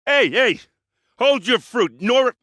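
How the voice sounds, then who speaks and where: A man voicing a gruff, hard-drinking warrior captain in a video game, loyal and brash, with a blunt, wry, informal way of talking. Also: very high-pitched, expressive, measured pace, sharp tone, no echo, clean studio sound, exaggerated voice. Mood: urgent, fired up. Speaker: game character voice actor. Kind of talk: video game dialogue and combat lines.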